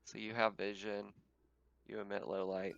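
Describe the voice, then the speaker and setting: A man's voice: fairly low pitch, little pitch movement, moderate pace, creaky, a bit bright, muffled recording, slight background noise. A man acting as game master in casual, informal talk, explaining things and amused, over an online voice call. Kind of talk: casual tabletop game narration and chat.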